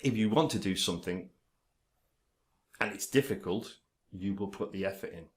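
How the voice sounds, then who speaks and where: A man talking directly to camera about his stammer, halting, with frequent pauses, ums and restarts as he controls his speech. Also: deep voice. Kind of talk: casual direct-to-camera talk.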